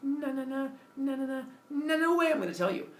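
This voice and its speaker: Man playing a cheeky, stubborn titan, lively pitch. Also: a little fast. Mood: defiant. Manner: casual, defiant, colloquial. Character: cheeky, stubborn male titan trickster who helps humans. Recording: roomy, distant mic, faint noise.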